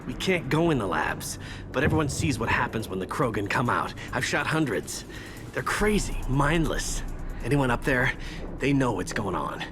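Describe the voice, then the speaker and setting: An actor playing a wounded mercenary grunt in a video game, in pain, stammering and complaining bitterly. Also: male voice, medium pitch, sing-song, moderate pace, over music, natural voice. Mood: grumbling, strained. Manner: sweary, stammering, whining complaints. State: in pain, bleeding. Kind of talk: video game cinematic acting.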